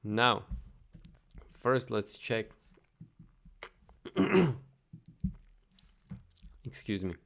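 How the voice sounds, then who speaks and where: Young male vlogger, low gravelly voice, relaxed chatty delivery, clear tone. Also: very slow, pauses often. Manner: relaxed, chatty, thinks aloud with fillers. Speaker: automation agency owner vlogging. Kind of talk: casual screen-share vlog.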